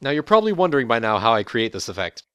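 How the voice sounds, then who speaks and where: A young man with a deep voice, a voice actor giving a prepared, relaxed, matter-of-fact account of his role. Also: very fast, clear, resonant tone, no room sound. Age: young adult. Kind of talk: prepared interview monologue. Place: college dorm room.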